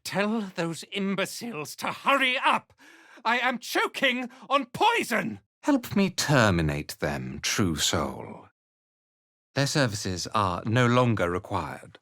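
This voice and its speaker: An actor playing a haughty, ruthless cult commander in a video game, speaking in an imperious, deliberate, disdainful manner. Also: male voice, on the high side, very animated, pushed and bright, no echo, clean studio sound, full character voice. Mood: angry, strained, then cold contempt. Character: haughty, ruthless male cult commander, contemptuous slave driver. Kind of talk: video game character acting.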